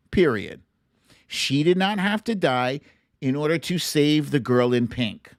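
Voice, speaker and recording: A middle-aged American man hosting a show, talking casually and emphatically in short, deliberate phrases as he gives commentary and tells stories. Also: medium pitch, no echo, clean studio sound. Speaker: show host. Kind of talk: casual monologue, commentary and storytelling on a livestream.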